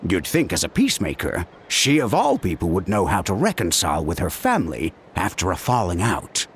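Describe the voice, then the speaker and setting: An actor playing a caring middle-aged uncle who gently scolds his niece in a video game. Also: deep voice, brisk, a little hiss or hum. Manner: warm-hearted scolding, expressive. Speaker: game character. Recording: game audio with soft music.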